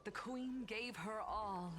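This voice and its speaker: An actress playing a solemn sea siren in a video game, speaking in a dramatic, theatrical way. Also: female voice, mid-range voice, slow pace, close to an everyday voice. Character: solemn female sea siren of a doomed kingdom. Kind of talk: theatrical scripted game dialogue.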